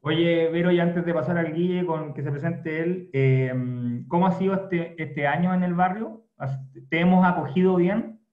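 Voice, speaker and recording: A man with a Chilean accent hosts the chat in an upbeat, chatty way. His voice is quite high, and it sounds roomy and muffled, like lo-fi call audio. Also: fairly even intonation, normal speed, hardly any pauses. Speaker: host, moderator. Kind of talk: casual moderated chat.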